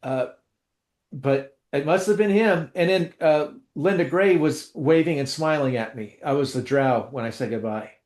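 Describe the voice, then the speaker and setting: A man's voice: fairly high pitch, normal speed, a little mellow, echoey room. A man co-hosting a casual chat, telling rambling, self-deprecating stories with lots of restarts. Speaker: co-host.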